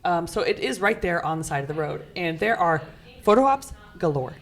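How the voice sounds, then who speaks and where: A woman's voice: lowish voice, lively intonation, brisk. Upbeat woman podcast co-host. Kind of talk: casual chat with scripted intro.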